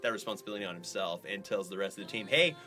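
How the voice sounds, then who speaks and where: A man co-hosting a podcast in casual, jokey banter, quick to laugh. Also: deep voice, soft background music. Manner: animated, jokey, laughs easily. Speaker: podcast co-host, voice actor. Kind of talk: casual banter, reading listener reviews.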